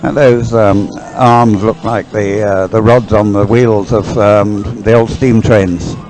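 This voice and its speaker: A man's voice: fairly low pitch, steady tone, takes it slowly, darkish tone, faint music behind, poor audio. An older man giving unscripted live race commentary in a hesitant, musing, explanatory way.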